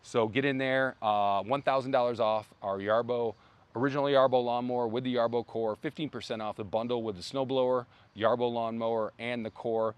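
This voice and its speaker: Man hosting a rambly, hoarse livestream. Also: medium pitch, steady tone, brisk. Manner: rambling, lots of fillers and restarts. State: losing his voice. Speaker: brand livestream host. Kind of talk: casual livestream chat. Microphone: phone.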